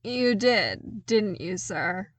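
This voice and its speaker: A woman's voice: medium pitch, lively intonation, drawn-out words, no echo. A woman voicing a frank, teasing, loyal male soldier in an audiobook, wry and familiar but deferential. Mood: wry, exasperated. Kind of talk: audiobook character dialogue.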